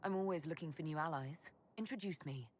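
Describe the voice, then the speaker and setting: A principled woman leader, played by an actress, steady and direct in a lowish voice. She speaks with composure, in a long hall reverb. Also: fairly quick, slight background noise, natural voice. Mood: inquiring, composed. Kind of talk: video game voice acting. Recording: hall reverb, faint ambience.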